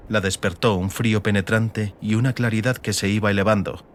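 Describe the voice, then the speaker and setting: A man reading scripted dramatic audiobook narration in a measured, literary manner. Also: fairly low pitch, steady tone. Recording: faint ambient noise behind.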